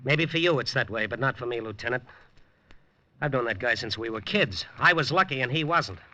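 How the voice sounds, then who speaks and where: A man's voice: medium pitch, animated, fairly quick, sharp tone. An actor playing a dogged, hardboiled newspaper reporter in a 1950s radio drama, with a terse, wry tough-guy delivery. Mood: determined. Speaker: lead actor. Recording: dull lo-fi 1950s radio broadcast.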